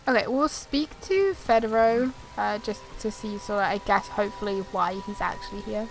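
A woman's voice: mid-range voice, moderate pace, vocal fry, low-quality recording. A woman giving casual, chatty commentary as she plays through a video game. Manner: chatty, reads dialogue options aloud. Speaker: gaming video creator. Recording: game music playing behind voice. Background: game music.

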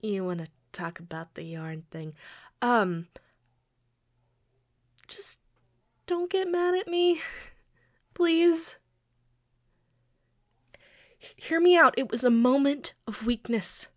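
A woman's voice: fairly high pitch, lively intonation. A woman playing a quirky, sheepish young girlfriend who pleads and defends herself hesitantly in an intimate audio roleplay. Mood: nervous, pleading. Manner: hesitant, pleading, halting with pauses. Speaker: amateur audio roleplay voice actor.

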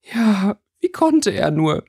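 A man's voice: high-pitched, very animated, slow pace, creaky, a little mellow, breathy edge, pitched above the performer's own voice, heavily acted. Guy doing a tearful indignant schoolgirl, storybook reading, raised plaintive voice. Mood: hurt.

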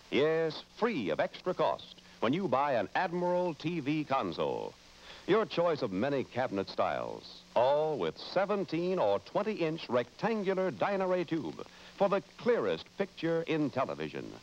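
Very animated American male announcer. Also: a little high, a little hiss or hum. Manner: polished, emphatic salesmanship. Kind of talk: 1950s sponsor advertisement announcing. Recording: dull vintage broadcast recording.

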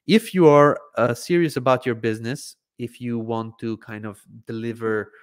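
Casting: man, 30s, from Croatia, native Hungarian speaker, relaxed hesitant live Q&A host, close desk mic. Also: mid-range voice, normal speed. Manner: relaxed, hesitant with frequent ums and "I believe". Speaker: livestream Q&A host. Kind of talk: casual live Q&A answering viewer questions. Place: home studio, indoors, seated in gaming chair. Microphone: desk microphone in front of face.

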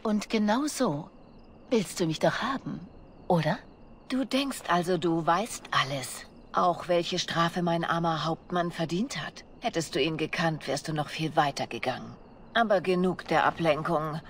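Low-voiced, seductive spy. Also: female voice, unhurried, a little hiss or hum. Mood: teasing. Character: seductive, confident female bard spy, playful and scheming. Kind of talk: video game voice acting.

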